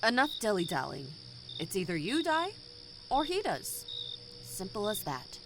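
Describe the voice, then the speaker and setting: A cold, commanding rebel leader, played by a woman in an audio drama. She speaks with bitter, deliberate menace at a medium pitch. Clear noise of night insects sits behind the voice. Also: big pitch swings. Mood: cold, threatening.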